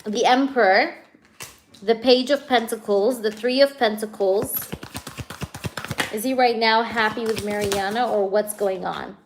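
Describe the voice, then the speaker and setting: A woman doing a live tarot reading for a viewer. She talks in a chatty, energetic way, full of "you know" and "okay", and keeps checking whether things make sense to the viewer. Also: fairly high pitch, measured pace, a little hiss or hum, low-quality recording. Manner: addresses the viewer directly, asks if things resonate. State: hyper, energetic. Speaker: tarot reader.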